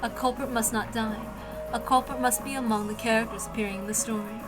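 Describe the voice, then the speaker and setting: Female narrator for a mystery visual novel, higher register, dramatic scripted read with music behind. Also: fairly even intonation, quick pace.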